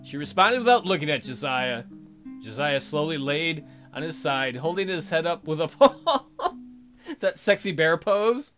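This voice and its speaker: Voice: mid-range voice, sing-song, normal speed, fairly bright tone, faint music behind. A game player reading a story aloud as narrator and breaking off for wry, teasing commentary. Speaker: let's play host.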